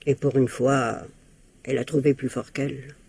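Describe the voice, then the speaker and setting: A spiteful older woman in a vintage radio whodunit. Her voice is husky and a little low, and she is prim, evasive and quick to defend herself. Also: little pitch movement, a little mellow, dry recording, exaggerated voice. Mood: sly, disdainful. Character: scheming, spiteful older mother-in-law under suspicion. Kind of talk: old-fashioned French radio mystery acting.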